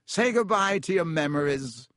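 A man's voice: fairly high pitch, lively intonation, normal speed, fairly bright tone, dry recording, pitched above the performer's own voice. A man performs a treacherous storybook villain, a grown man full of himself. He gloats theatrically in a raised, smug voice, close and dry. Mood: smug menace. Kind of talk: audiobook character acting.

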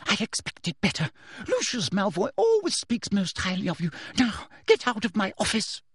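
A man voicing a falsely sweet, menacing female headmistress who turns shrill and girlish when angry, in a dry close recording. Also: wildly swooping pitch, moderate pace, a bit bright, slightly rough, no echo, heavily acted. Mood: shrill furious.

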